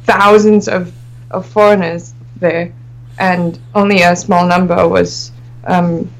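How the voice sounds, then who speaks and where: A woman's voice: fairly low pitch, little pitch movement, slow, poor audio. A young woman from Asia, co-hosting a casual chat and often breaking into giggles mid-sentence.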